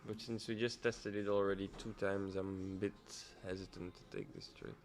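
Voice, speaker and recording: Laid-back young man, low voice. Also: little pitch movement, normal speed. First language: Dutch. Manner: casual, loose, musing half to himself. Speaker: trading livestreamer. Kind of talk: live-stream commentary, thinking aloud.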